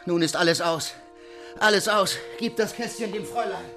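A man's voice: sits high, normal speed, bright, forceful tone, soft background music, voice pushed up. A man voicing a desperate, frantic intruder who pleads in panic, in a dramatic audiobook. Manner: frantic, imploring. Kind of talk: dramatic audiobook character reading.

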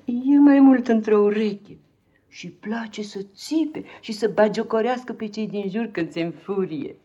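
A devoted nursemaid acted by a woman in a dull-sounding 1950s radio play recording. Her voice is dark and breathy, full of motherly tenderness and sorrow. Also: medium pitch, close to an everyday voice. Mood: tender, confiding. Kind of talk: 1950s radio drama acting.

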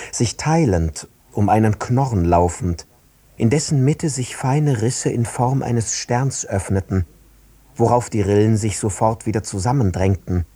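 A man with a lowish, husky voice reads literary prose in a very dry, close sound. His delivery is measured, placing one phrase after another. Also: mellow, dry recording. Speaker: narrator reading literary prose. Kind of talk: scripted literary narration.

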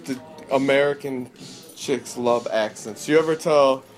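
A man interviewing a band before a show, asking crude questions with deadpan teasing humor. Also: mid-range voice, a little slow. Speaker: podcast interviewer. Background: music playing.

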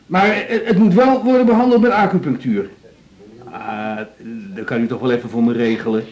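A man's voice: mid-range voice, steady tone, normal speed, a little mellow, muffled recording, close to an everyday voice. An actor playing a naive, pestering working-class male hospital patient who stammers and pleads in a radio drama. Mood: pleading, persuasive. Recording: roomy, echoing radio drama studio.

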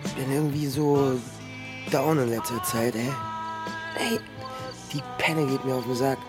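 A man's voice: mid-range voice, fairly even intonation, a little slow, close to an everyday voice. A male actor playing a moody, stoned teenage boy who gripes in slang in a radio drama. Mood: sullen, fed up. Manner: sullen, slangy griping. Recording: music behind the voice.

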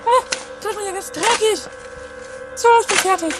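A woman's voice: very high voice, normal speed. A woman playing a whiny, dawdling sidekick in an amateur trashy comedy radio play. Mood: dismayed. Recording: 1980s amateur radio play with music behind.

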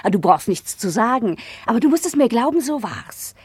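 Lively, giggly, bawdy woman. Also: fairly high pitch, a little fast, breathy edge, no echo, heavily acted. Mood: teasing, amused. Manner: laughter-laced, playful. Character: giggly, teasing, bawdy woman reminiscing about lovers. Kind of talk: lively radio drama acting.

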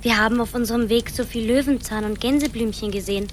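A woman's voice: a little high, quick pace, slight background noise, lightly acted. A female performer playing an eager, plucky little girl in a radio drama.

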